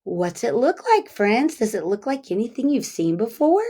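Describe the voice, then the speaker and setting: A woman teacher reading a picture book aloud to young children, speaking deliberately and pausing to ask them questions. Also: on the high side, big pitch swings, warm tone.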